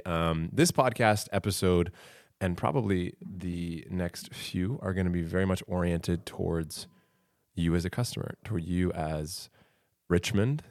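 A man hosting a podcast, speaking loudly and enthusiastically as he introduces his guest in a studio. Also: low-pitched, no room sound. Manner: loud, upbeat, enthusiastic. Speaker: podcast host. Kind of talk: casual podcast interview. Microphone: studio mic.

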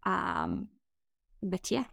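A woman's voice: lowish voice, wildly swooping pitch, vocal fry, a bit bright, dry recording, studio quality. A woman from Israel with an Israeli accent, answering interview questions as a startup co-founder, with frequent "um" fillers. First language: Hebrew. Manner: fluent but halting, many "um" fillers.

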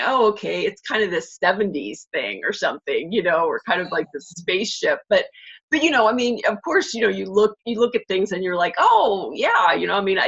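Middle-aged lady host, breathy and lowish, chatty w lots of fillers, sounds lo-fi. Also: a bit bright. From Pittsburgh area, United States. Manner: chatty, lots of fillers like "you know" and "like", laughs easily. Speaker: interviewer, host. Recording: dull, muffled lo-fi line.

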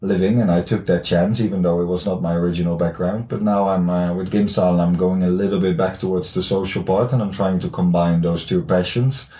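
Mellow young Dutch man in a roomy space. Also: sits low, steady tone, brisk, hardly any pauses. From the Netherlands. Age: late 20s. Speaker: podcast guest, gym founder. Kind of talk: casual interview chat.

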